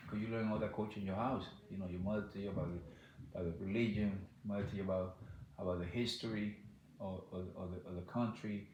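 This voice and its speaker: A man from the Dominican Republic with a Dominican accent, answering interview questions in halting casual speech full of "like" and "you know". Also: sits low, fairly even intonation, a little mellow, echoey room, muffled recording, slight background noise. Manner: halting, repeats words, many "like" and "you know".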